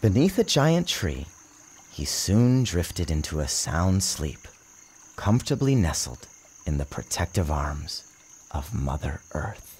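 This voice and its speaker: A man giving a measured, theatrical storybook narration in an expressive, dramatic style. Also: low-pitched, breathy edge. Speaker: author reading his book aloud. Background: music behind the narration.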